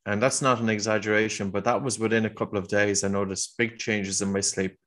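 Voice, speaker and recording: A middle-aged man with an Irish accent answers interview questions in an earnest, explanatory way. His voice sits a little low, and he sometimes restarts a phrase as he thinks. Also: little pitch movement, a little fast, a bit bright. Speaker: guest, breathing teacher.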